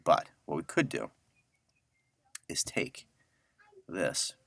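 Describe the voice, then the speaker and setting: A middle-aged man giving a casual audio software tutorial, talking informally and thinking aloud as he works. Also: very deep voice, wildly swooping pitch, takes it slowly, creaky, no echo. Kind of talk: casual screen-share tutorial.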